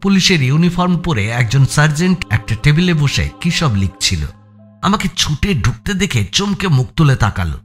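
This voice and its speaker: A man's voice: a little high, very animated, normal speed, slightly rough. A man reading a scripted thriller as narrator, with a suspenseful, theatrical delivery. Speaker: story narrator. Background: background music.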